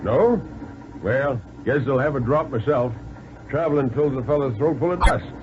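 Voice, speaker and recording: A man's voice: mid-range voice, normal speed, noisy background, pitched above the performer's own voice, natural voice. A man voicing a folksy, easygoing deputy marshal with homespun, hesitant talk in a radio story. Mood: folksy, casual. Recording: narrow vintage 1950s radio.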